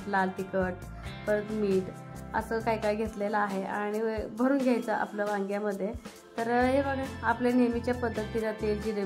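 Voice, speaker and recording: A woman with a rural Maharashtrian Marathi accent, chatting in a homely, colloquial way as she narrates a family vlog. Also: mid-range voice. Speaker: family vlogger. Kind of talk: casual home vlog, chatty cooking narration.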